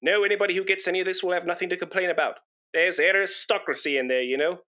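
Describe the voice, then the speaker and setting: A pompous, self-important man in his thirties in a radio comedy. His voice sits fairly high with a forceful, bright edge. He rambles on proudly and is quick to take offence. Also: steady tone. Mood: boastful, rambling. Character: pompous, patriotic, indignant British man of thirty-five.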